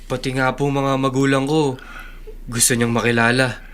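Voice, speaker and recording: A man's voice: medium pitch, flat delivery, normal speed, fairly bright tone, clear, resonant tone, lightly acted. An actor playing a humble, grateful young male employee, deferential and hesitant, in a Tagalog radio drama. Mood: bashful, unsure.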